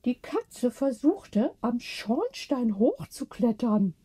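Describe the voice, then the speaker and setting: A woman voicing a brisk, matter-of-fact old lady neighbour in a storybook. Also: on the high side, very animated, mellow, slightly rough, voice pushed up, full character voice. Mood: vivid telling.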